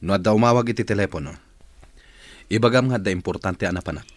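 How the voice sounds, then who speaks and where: An actor playing a commanding company boss with a husky voice in a radio drama. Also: male voice, lowish voice, quick pace, dry recording, natural voice. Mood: firm, bossy.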